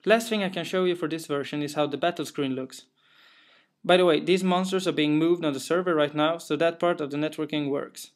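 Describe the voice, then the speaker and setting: A man's voice: a little high, steady tone, brisk. A young man from Sweden with a Swedish accent, a game developer talking through his game in careful, slightly hesitant English. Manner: careful, plain, a little hesitant with fillers. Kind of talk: narrated gameplay walkthrough.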